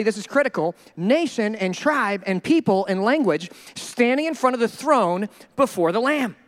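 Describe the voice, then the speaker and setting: A male pastor preaching a sermon in an animated, joking way, acting out the people he quotes. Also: sits high, long reverb tail. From Minnesota.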